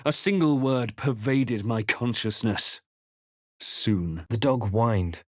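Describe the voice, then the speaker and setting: Guy playing a brooding male adventurer from a fantasy game, grave n measured storytelling, close dry sound. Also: mid-range voice, dry recording, natural voice. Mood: grave, narrating. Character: brooding male adventurer hero recounting his perils. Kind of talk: dramatic game narration and short character remarks.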